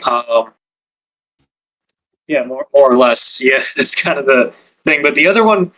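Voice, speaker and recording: A man's voice: mid-range voice, lively intonation, a little slow, sharp tone, low-quality recording. A man who writes ASMR scripts, chatting casually on a podcast with many fillers and restarts.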